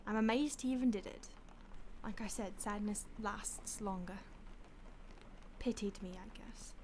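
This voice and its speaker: A woman voicing a mocking, predatory female vampire stalker who talks down to her victim, soft-spoken and slipping into whispers. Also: medium pitch, a little slow, noisy background, close to an everyday voice. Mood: wistful. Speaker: audio roleplay voice actor. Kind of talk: audio roleplay acting.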